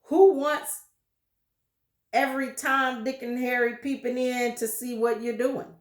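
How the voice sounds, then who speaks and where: A woman's voice: a little high, fairly even intonation, unhurried, reverberant, voice pushed up, lightly acted. Mister Twit, as a woman reads him, sneering and grumpy. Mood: scornful. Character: grumpy suspicious old man.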